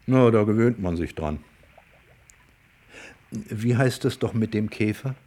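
An actor playing a flippant, teasing young man with rough charm in a German radio play, speaking colloquially. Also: lowish voice, animated, takes it slowly, mellow. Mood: offhand.

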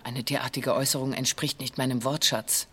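Ein Schauspieler spielt in einem Hörspiel einen steifen, sich verteidigenden Verdächtigen, der förmlich und gestelzt im Verhör aussagt. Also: male voice, mid-range voice, steady tone, fairly quick, sharp tone, slight background noise, close to an everyday voice. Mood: defensive denial. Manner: formal, stilted wording. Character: male criminal suspect under interrogation, stiff, defensive. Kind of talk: radio play, recited statement of interrogation record.

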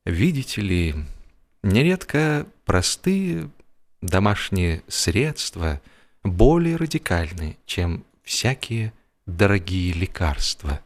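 A man narrating a humorous literary audiobook with expressive, theatrical phrasing and mock seriousness. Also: low-pitched, slow pace.

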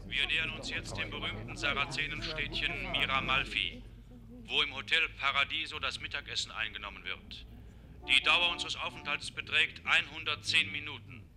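An actor playing an indifferent bus driver in a German radio play, making formal announcements through a megaphone. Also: male voice, fairly high pitch, moderate pace, bright, forceful tone. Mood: official, indifferent.

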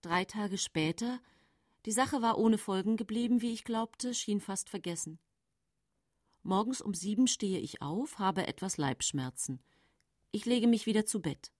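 A woman's voice: mid-range voice, unhurried, a little mellow, dry recording, studio quality, natural voice. An actress playing a composed, matter-of-fact woman poisoner who recounts her case in sober radio play narration. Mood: sober, tense. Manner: sober, controlled storytelling.

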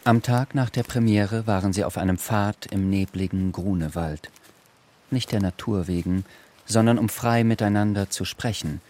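A man narrating a radio play, reading literary prose in a measured storytelling manner. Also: lowish voice, dark tone, lightly acted. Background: clear music.

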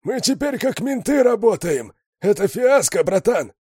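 A man voicing a hoarse, wheezing male prison gang boss who yells excitedly over a radio. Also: sits high, measured pace, no room sound, studio quality, pitched above the performer's own voice, heavily acted. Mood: yelling excitedly. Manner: loud and excited, yelling. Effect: radio transmission.

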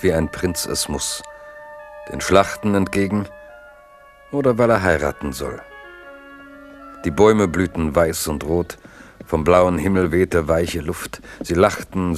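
A male narrator for a 1970s radio play, with a very deep voice. He tells the story in a measured, lyrical way while faint music plays underneath. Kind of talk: scripted narration.

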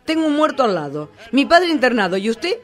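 Fiery Rioplatense daughter out for her money, played by an actress: high, lively intonation, biting tongue, comic radio drama. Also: brisk. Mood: disgusted. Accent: Argentine, Rioplatense. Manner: confrontational, biting, sharp-tongued. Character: furious, determined, scornful daughter of a cheated gambler. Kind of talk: comic radio-play acting. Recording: music under the dialogue.

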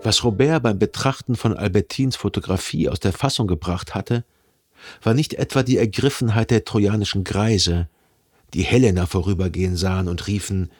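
A man playing a reflective, lovelorn narrator recalling his past in a literary radio drama, measured and contemplative. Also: lowish voice, breathy edge. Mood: wry reflection.

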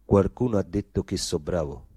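A man's voice: low voice, normal speed, mellow, slightly rough, dry recording, studio quality. A man playing a terse, eager Romanian immigrant who wants to box, answering in short clipped stage dialogue. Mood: stubborn, laconic.

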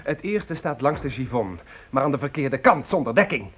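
An actor playing a distraught, despairing young French soldier in a Dutch radio drama, pleading and fatalistic. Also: male voice, mid-range voice, sing-song, moderate pace, slightly rough. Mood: agitated, insistent. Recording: dull, hissy old radio play recording.

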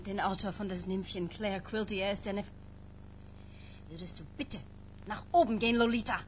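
A woman's voice: medium pitch, normal speed, a little hiss or hum, lightly acted. An actress playing a flirtatious, middle-aged widowed mother in a radio drama, effusive and theatrical. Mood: impatient, maternal.

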